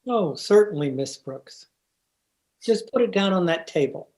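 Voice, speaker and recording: An actor playing a polite, hesitant male high school biology teacher in an old-time radio comedy. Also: expressive, unhurried, darkish tone. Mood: mild, agreeable. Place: remote recording over video call.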